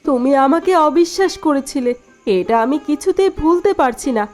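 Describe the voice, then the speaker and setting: A woman playing a soft-hearted but strong-willed young wife and daughter-in-law in a cartoon, speaking sincerely and a little plaintively. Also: high voice, animated, moderate pace. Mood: hurt. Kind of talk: Bengali cartoon voice acting.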